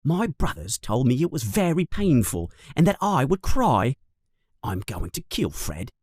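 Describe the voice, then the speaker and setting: A man's voice: medium pitch, big pitch swings, measured pace, a little mellow, husky, no room sound, studio quality. A man voicing a nervous young schoolboy who grumbles and frets. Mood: fretful. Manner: fretful, complaining. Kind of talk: storybook reading.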